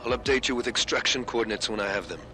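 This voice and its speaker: An actor playing a dry, wry extraction pilot in a video game, with a deadpan, sardonic manner. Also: male voice, medium pitch, quick pace, background noise, lightly acted. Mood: businesslike. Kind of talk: video game cutscene acting. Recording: game cutscene under loud music.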